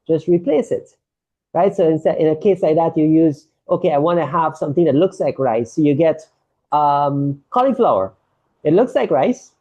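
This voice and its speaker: A man's voice: on the high side, warm tone, highs cut off. A man from Guyana with a Guyanese accent, a doctor explaining diet in a casual health talk and often checking in with "right?". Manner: explanatory, tags statements with "right?". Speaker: sports medicine doctor, guest.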